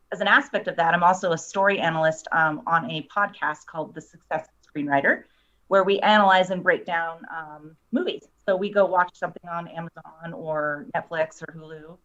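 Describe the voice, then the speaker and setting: A woman's voice: sits low, fairly even intonation, moderate pace, a bit bright. A woman guest explaining her work in casual talk over dull, lo-fi call audio. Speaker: guest, screenwriter and story analyst. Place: online video call.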